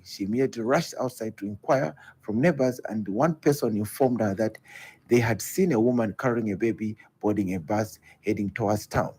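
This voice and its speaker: A man's voice: medium pitch, darkish tone, breathy edge, low-quality recording. A man with a Zambian accent, a police spokesman, reading out a formal prepared statement.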